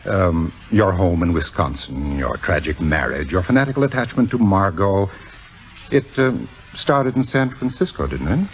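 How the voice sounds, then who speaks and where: An actor playing a suave, self-important theater critic, urbane and insinuating, in a 1950s radio drama. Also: male voice, low-pitched, animated, normal speed. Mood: suave, probing. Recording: dull old radio recording.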